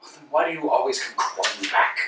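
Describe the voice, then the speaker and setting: A man's voice: mid-range voice, lively intonation, fast, noisy background, natural voice. Vladimir, a man playing a fretful, insistent tramp. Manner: fussing, insistent, lecturing. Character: anxious, talkative male tramp. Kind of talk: staged play reading. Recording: echoey room, mic far off.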